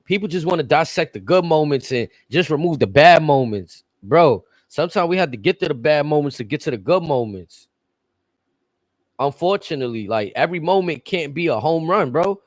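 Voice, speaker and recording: A man's voice: a little high, expressive, moderate pace, no echo. Blunt, profane man talking wrestling. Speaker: podcast co-host. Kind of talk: casual wrestling chat.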